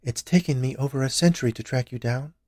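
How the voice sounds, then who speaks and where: A man's voice: medium pitch, fast talker, dark tone, no room sound, clean studio sound, voice pushed up, close to an everyday voice. A man voicing a century-old witch confectioner in a story reading, gushing and theatrical with a vengeful streak. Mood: sinister, vengeful. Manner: gushing, theatrical, overly polite. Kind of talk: dramatized short story reading.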